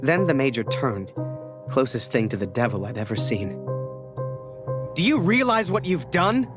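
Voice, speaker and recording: A man's voice: fairly low pitch, lively intonation, normal speed, lightly acted. An actor playing an earnest young Canadian war photographer, telling his story in a candid, confiding way. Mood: shaken. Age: young adult. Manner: candid, plain-spoken, confiding. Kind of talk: scripted storytelling narration. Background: music.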